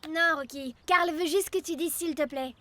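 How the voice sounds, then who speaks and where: A woman's voice: high voice, moderate pace, pushed and bright, slight background noise. A woman playing a sensible, loyal young girl in a cartoon, reasoning and reassuring. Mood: reasonable. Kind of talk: animated cartoon acting.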